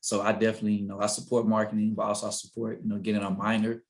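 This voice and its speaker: A young man in his twenties talks on an alumni panel, relaxed and friendly. His low voice moves quickly and stays flat in pitch. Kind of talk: casual panel talk.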